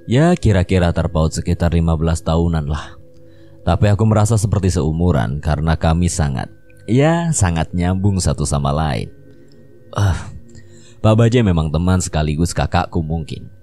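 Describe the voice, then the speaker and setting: A man narrating a mystery story in the first person, calm storytelling. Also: deep voice, a little hiss or hum. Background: faint music.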